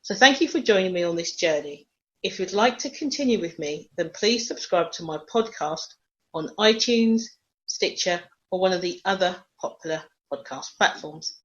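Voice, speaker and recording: A woman's voice: lowish voice, animated, normal speed, fairly bright tone. A woman hosting a leadership podcast, introducing the show and prompting her guest in a friendly, encouraging way. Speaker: podcast host. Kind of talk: podcast interview. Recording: dull lo-fi sound.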